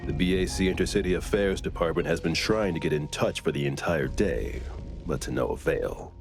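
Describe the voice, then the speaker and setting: An actor playing a stern, cautious investigator in a game cutscene, with a measured, matter-of-fact delivery. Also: male voice, deep voice, close to an everyday voice. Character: stern, cautious, very deep-voiced male veteran investigator. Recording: music behind the voice.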